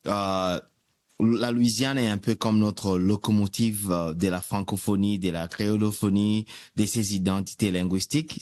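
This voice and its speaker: A man speaks slowly and deliberately. His pitch sits at an ordinary middle for a man. The recording is dry and close. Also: dry recording. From Congo. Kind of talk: interview commentary.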